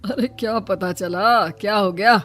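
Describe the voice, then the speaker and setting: A woman's voice: medium pitch, unhurried, fairly bright tone, soft background music, close to an everyday voice. A woman voicing a blunt, admonishing adult relative who advises a young girl in a cartoon. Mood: scolding, advising.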